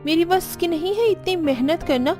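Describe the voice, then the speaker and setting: A woman's voice: very high-pitched, animated, moderate pace, a little mellow. A woman playing a spoiled, complaining young married daughter in a cartoon. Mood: whiny refusing. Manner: complaining, indignant. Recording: background music.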